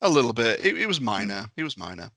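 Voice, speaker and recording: A man's voice: mid-range voice, very animated, fast, creaky, bright, forceful tone, no room sound, clean studio sound. A man chatting casually on a podcast as a panelist and game master.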